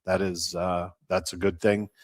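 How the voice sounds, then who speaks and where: Low-pitched man, halting interview talk. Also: little pitch movement, no room sound, clean studio sound. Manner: measured, halting, often pausing mid-sentence. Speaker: baseball team representative, interview guest. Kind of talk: unscripted sports interview talk.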